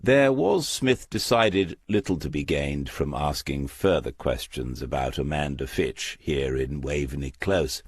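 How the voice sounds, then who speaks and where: A man narrates a crime novel with an extremely deep, husky voice. His delivery is slow and measured, with a literary storyteller's control. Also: no room sound, studio quality. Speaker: audiobook narrator. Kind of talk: scripted crime fiction narration.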